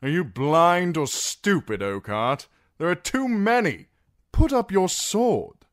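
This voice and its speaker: A man voicing an arrogant, mocking dark knight in a fantasy audiobook, scornful and forceful. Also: sits high, very animated, slow, voice pushed up, full character voice.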